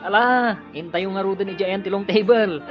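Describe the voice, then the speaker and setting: Comic sidekick guest, played by a man, Ilocano accent, highish teasing voice, radio drama over clear music, muffled. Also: moderate pace, natural voice. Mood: joking.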